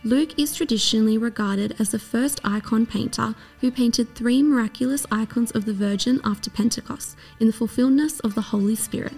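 A woman reading a scripted documentary narration in a measured, even way. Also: on the high side, breathy edge, faint music behind.

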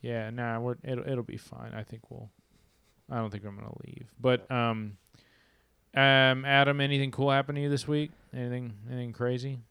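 Gravelly, smooth-voiced young podcast host. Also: male voice, medium pitch, little pitch movement, normal speed, a bit bright, no room sound. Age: young adult. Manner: rambling, restarts, swears casually, addresses listeners. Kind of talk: casual podcast chat. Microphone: handheld mic with pop filter.

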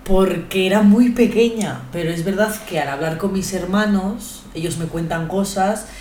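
A young woman with a Spanish accent from Spain, talking in a relaxed, thoughtful way as a podcast guest, with many pauses and fillers. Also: medium pitch, reverberant, slight background noise. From Ecuador, raised in Spain. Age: young adult. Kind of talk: casual podcast conversation.

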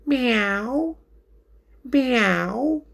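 A man voicing a bold, playful female cat in a children's story, with a brisk, bossy manner. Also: very high voice, lively intonation, gravelly, a bit bright, voice pushed up, full character voice. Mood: calling.

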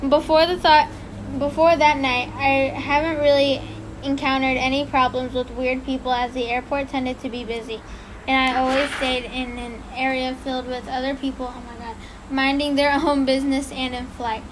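A woman with a high voice reads a story aloud in a loose, casual way, often stumbling, restarting and apologizing. A steady hiss of noise sits under the recording. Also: low-quality recording. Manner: halting, apologetic, laughs at her own slips. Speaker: podcast co-host reading a story.

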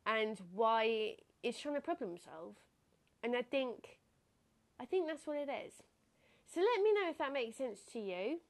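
A woman's voice: a little high, gravelly. A young woman hosting her own podcast, talking in a casual, reflective way with a slightly nasal, stuffed-up voice and frequent laughs. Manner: chatty, reflective, laughs often. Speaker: podcast host. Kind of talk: casual solo podcast talk.

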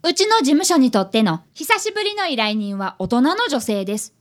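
A woman's voice: sits high, very animated, moderate pace. A woman playing an earnest, polite fifth-grade boy who is an apprentice detective in a radio drama. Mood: earnest narrating. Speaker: narrator and character in a radio drama. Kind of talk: Japanese radio drama acting.

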